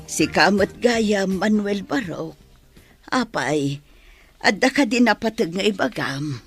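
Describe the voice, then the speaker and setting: A woman's voice: mid-range voice, lively intonation, takes it slowly, soft background music. A woman playing an anxious older mother, fretful and questioning, in a Filipino audio drama.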